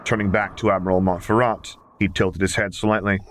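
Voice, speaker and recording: A man's voice: deep voice, level tone, fairly quick, fairly bright tone, slight background noise. A man reading scripted fiction aloud as an audiobook narrator. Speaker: audiobook reader.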